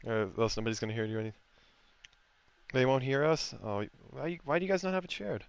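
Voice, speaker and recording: A man's voice: medium pitch, expressive, quick pace, gravelly, smooth, dry recording. A man hosting a post-race sim racing stream, chatting casually with drivers and joking crudely. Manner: joking, laughing, crude banter.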